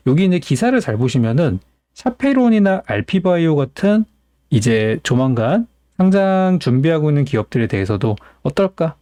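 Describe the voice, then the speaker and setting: A man's voice: medium pitch, mellow, no echo. A man hosting a stock market show, giving informal, opinionated commentary to viewers and often posing rhetorical questions.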